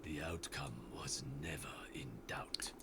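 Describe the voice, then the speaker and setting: A man's voice: rumbling low, fairly even intonation, slow, bright, forceful tone, slight background noise, full character voice. An actor playing a stern, proud male warrior in a video game, solemn and confident, heard faintly in game playback. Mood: stern pride. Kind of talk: video game character voice lines.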